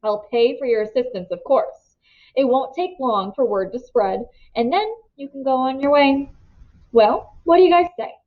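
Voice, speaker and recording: Woman reading as a smug, petulant villain, swooping pitch. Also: expressive, moderate pace, highs cut off. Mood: condescending, eager. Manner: smooth talk turning higher, petulant and shrill. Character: smug, greedy man with a thin, petulant politeness.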